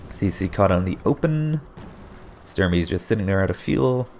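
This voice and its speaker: A man casting a strategy game replay as play-by-play commentary, his voice hoarse and getting raspy, often breaking into surprised exclamations. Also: low voice, moderate pace, a little hiss or hum. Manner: excitable, frequent surprised exclamations. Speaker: game caster.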